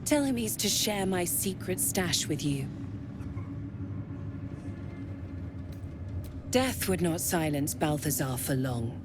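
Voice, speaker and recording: Female cult commander, game dialogue, low-pitched, seductive, menacing, commanding, noisy ambience behind. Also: lively intonation, unhurried. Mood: stern, commanding. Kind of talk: video game voice acting.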